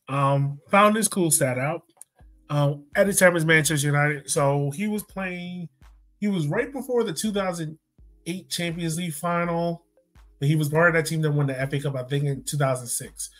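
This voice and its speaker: A man's voice: fairly high pitch, a bit bright. A man hosting a soccer podcast, telling sports stories in an informal, conversational way and checking in with his listener. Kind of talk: casual conversational sports storytelling.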